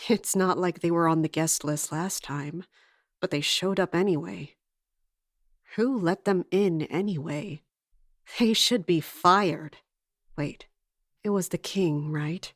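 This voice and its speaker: A woman's voice: on the low side, dry recording, clean studio sound. A woman playing a sleepless, fretful royal lady running palace affairs in an audio drama monologue.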